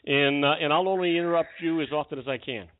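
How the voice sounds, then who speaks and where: A man's voice: a little high, fast talker, dry recording. A man hosting a talk radio show, bantering and joking with his guests. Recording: tinny phone-like radio audio.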